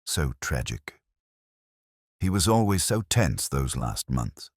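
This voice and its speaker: Man voicing a low, gossipy society woman. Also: sing-song, slow pace, no room sound, studio quality, heavily acted. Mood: confiding. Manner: sighing, confiding gossip.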